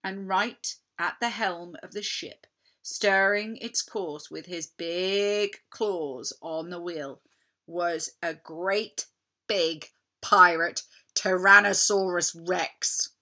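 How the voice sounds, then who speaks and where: Female children's story reader, unhurried and dramatic, bright forward voice. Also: fairly low pitch. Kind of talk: expressive children's story narration.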